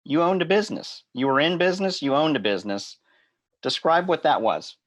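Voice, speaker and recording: A man's voice: fairly high pitch, very animated, fairly quick, fairly bright tone, dry recording, clean studio sound. A man hosting an interview, asking questions in an upbeat, enthusiastic way. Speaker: host. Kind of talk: conference interview show.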